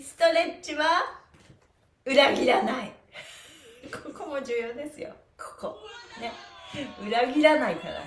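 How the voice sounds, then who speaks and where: A middle-aged woman with a high voice hosts a live stretch class. She is upbeat and exclamatory, urging viewers along. The room is echoey, with some music behind her. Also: a bit bright. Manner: cheerful, exclamatory, encouraging. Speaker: stretching instructor and pantomime performer. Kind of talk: livestreamed exercise lesson with chanted counting and chatty asides. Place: small bare room indoors. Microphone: camera mic a few steps away.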